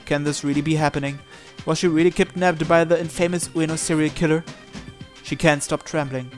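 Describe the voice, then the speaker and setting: A man's voice: a little high, fairly even intonation, brisk. A man reading a visual novel aloud as dramatic narration, in a theatrical, suspenseful manner. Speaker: visual novel let's player. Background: game music.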